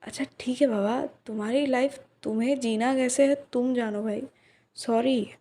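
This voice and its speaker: A woman in a soft-spoken roleplay, playing a young flower shop owner who teases gently and drops into breathy whispers. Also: a little high, steady tone, normal speed. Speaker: voice actress in a listener roleplay. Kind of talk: ASMR roleplay, one side of a conversation.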